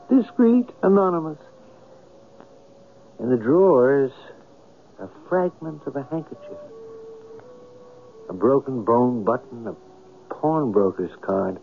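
An actor playing a haunted, wondering storyteller in a radio mystery, speaking in a deliberate, brooding, theatrical way. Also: male voice, lowish voice, vocal fry, a little hiss or hum, heavily acted. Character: haunted, wondering male storyteller searching a shabby room. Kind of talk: dramatic radio mystery narration. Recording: tinny old radio recording, music behind.

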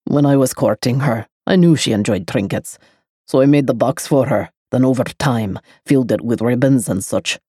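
Woman voicing a dark, possessive male lover, low slow murmur, mellow, dry, storybook audiobook. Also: husky, no echo. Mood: grave, tender. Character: brooding possessive man, dark, ageless lover. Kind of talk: audiobook character voice.

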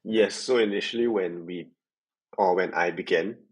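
Low, husky Singaporean man being interviewed. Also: moderate pace. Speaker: podcast guest, tech sales worker. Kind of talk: reflective interview conversation.

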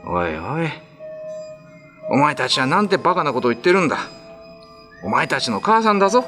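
A man's voice: a little high, big pitch swings, fairly quick, sharp tone, faint music behind, pitched above the performer's own voice. A man playing a disbelieving father who scolds his children, stern and incredulous, in a radio drama. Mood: scolding. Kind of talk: radio ghost-story drama.

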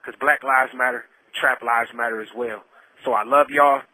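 Earnest man on a bright phone line. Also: mid-range voice, fairly even intonation, moderate pace, no room sound. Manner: earnest, colloquial, pleading. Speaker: storyteller, incarcerated caller. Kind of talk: personal testimony over a phone call. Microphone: phone handset. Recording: telephone line from prison.

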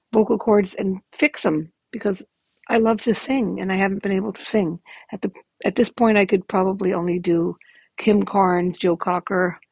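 Woman in her fifties, hoarse and raspy, gravelly lowish voice, rambling casual podcast chat, dry close sound. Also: mellow, dry recording. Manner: rambling, candid, frequent ums. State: hoarse from illness. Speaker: podcast host. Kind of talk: casual personal update.

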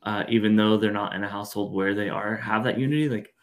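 Guy cohosting a podcast, low gravelly voice, rambles thoughtfully. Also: fairly even intonation, fast talker. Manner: thoughtful, rambling with many fillers. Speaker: podcast co-host. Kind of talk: casual podcast chat.

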